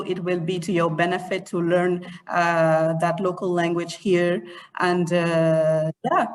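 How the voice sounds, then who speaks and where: A woman who works in communications, speaking as a webinar guest and giving friendly, practical advice. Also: on the low side. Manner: friendly, advising, light laughs. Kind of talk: webinar panel answer. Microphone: video call.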